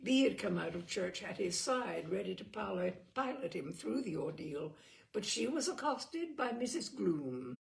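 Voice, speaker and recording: Expressive woman reading a novel, creaky voice. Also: mid-range voice, a little slow. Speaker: audiobook reader. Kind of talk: scripted narration of a novel.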